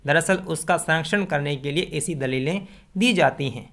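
Young guy, kinda high voice, arguing his opinion into a close desk mic, punchy delivery. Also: moderate pace, fairly bright tone. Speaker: video commentator. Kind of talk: scripted opinion commentary to camera. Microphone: desk condenser microphone, close.

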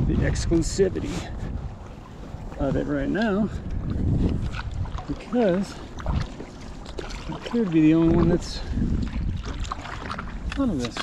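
A middle-aged American man casually explaining his fishing gear while out on a lake, recorded on an action camera. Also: on the high side. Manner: relaxed, chatty, explains gear step by step. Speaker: outdoor vlogger. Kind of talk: casual how-to narration. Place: outdoors on a lake, on a paddle board. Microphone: action camera mounted on the board. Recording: faint wind and water noise.

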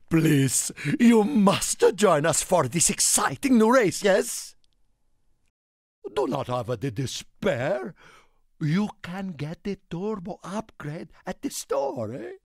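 A man voicing an excitable, warm-hearted Italian car in a video game, with an Italian accent and a theatrical manner. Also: high voice, no room sound, clean studio sound, heavily acted. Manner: theatrical, refers to himself in third person. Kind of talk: animated video game character lines.

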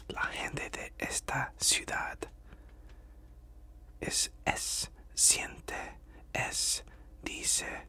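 A man whispering through a casual study-with-me ASMR roleplay, chatting in slangy asides to the listener. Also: pushed and bright. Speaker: ASMR creator.

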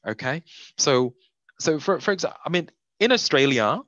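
A man's voice: a little high, expressive, normal speed, fairly bright tone, no room sound, lo-fi sound. A middle-aged man from Indonesia giving a webinar lecture over a video call, conversational, with many fillers and self-corrections. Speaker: webinar speaker. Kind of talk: lecturing in an online workshop.

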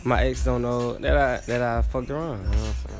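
A man's voice: medium pitch, steady tone, a little fast, music in the background. A young man in a shopping mall who hesitates and mumbles when pressed to answer a question. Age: young adult. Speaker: interviewee. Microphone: interviewer's phone.

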